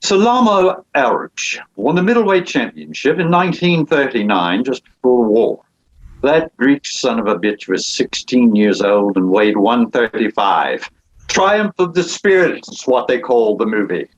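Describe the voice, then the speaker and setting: An actor playing a cruel, cold aristocratic Duke at a script table read, menacing and measured. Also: male voice, mid-range voice, very animated, muffled recording. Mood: storytelling.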